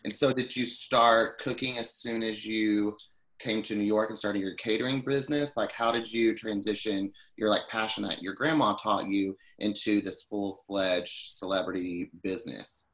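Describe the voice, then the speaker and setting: A man's voice: lowish voice, steady tone, moderate pace, fairly bright tone. A man co-hosting a podcast, blunt and joking as he asks questions. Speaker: podcast co-host. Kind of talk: casual interview chat.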